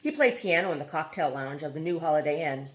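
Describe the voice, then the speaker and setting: A woman's voice: deep voice, expressive, fast, background noise. A woman reading a novel aloud as scripted narration, now and then stumbling over a word. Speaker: amateur audiobook reader.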